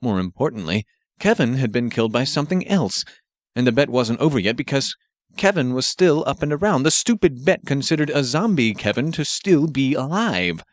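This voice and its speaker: A man reads an action story as an audiobook narrator. His voice sits at an average male pitch, and the recording is very dry, with no room sound around it. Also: big pitch swings, normal speed, no echo.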